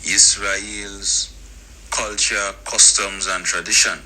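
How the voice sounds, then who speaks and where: A man who says he is a native Hebrew speaker, teaching scripture to listeners in a deliberate, halting delivery of short phrases. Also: on the low side, low-quality recording. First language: Hebrew, as the speaker states. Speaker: religious teacher. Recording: steady background noise.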